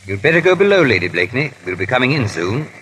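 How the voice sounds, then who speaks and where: An actor playing an earnest English gentleman escorting a lady at sea in a 1930s radio drama. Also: medium pitch, sing-song, fast. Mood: earnest, reassuring. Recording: noisy, muffled 1938 radio broadcast.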